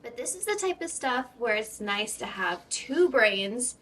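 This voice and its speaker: A woman's voice: fairly high pitch, very animated, a little slow, a bit bright. Chatty woman filmed from across her workshop. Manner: chatty, talks to herself while working, occasional whispers. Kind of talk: casual workshop vlog. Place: home workshop. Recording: distant camera mic with faint room noise.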